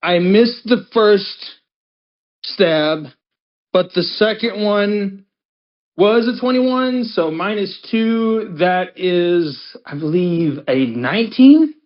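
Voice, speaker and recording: A man's voice: high voice, expressive, slow, dull audio. A man playing a tabletop game, casually describing a character's actions in the first person.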